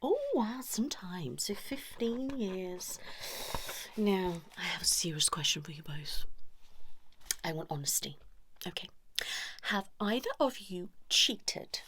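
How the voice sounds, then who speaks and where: A woman's voice: fairly low pitch, lively intonation, noisy background. Woman role-playing a whispery, blunt couples therapist, ASMR. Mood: probing, sceptical. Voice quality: breathy soft-spoken, often slipping into whisper. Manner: fussy, chiding, pausing as if listening to clients. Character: blunt, judgmental female couples therapist, brisk and exasperated. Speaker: ASMR role-play performer.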